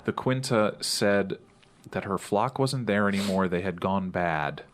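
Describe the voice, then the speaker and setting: A man with a fairly low voice talks casually as a player in a tabletop role-playing game. The recording carries a little noise around him. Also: fairly even intonation, a little slow. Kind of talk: casual in-character table talk.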